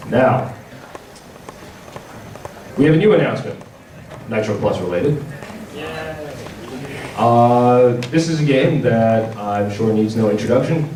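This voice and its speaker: A man giving an informal panel presentation as a game publisher, speaking haltingly with stammered repeats. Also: on the low side, darkish tone. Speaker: visual novel publisher presenting at a convention panel. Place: large indoor convention panel room. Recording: echoey, noisy convention room from far off.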